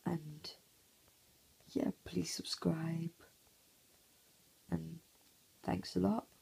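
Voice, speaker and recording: A man with a hoarse, croaky voice, half-whispering casual commentary over a mobile game at home early in the morning, recorded on a phone. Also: a little high, flat delivery, extremely slow, a little mellow, rough recording. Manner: half-whispering to avoid waking sleepers. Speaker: gameplay commentator.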